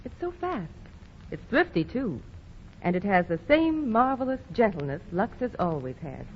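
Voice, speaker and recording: A woman's voice: medium pitch, very animated, moderate pace, slight background noise. Chirpy woman in an old radio ad. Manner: chirpy, eager sales reading. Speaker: commercial co-announcer. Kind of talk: 1940s radio advertisement. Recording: dull 1940 radio broadcast.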